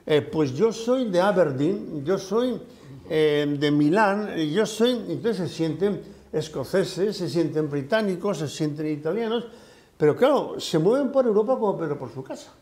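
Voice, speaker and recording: Older man, lively and mellow. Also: on the high side, unhurried. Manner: thoughtful, with frequent fillers and hesitations. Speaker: retired professor. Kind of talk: conversational discussion.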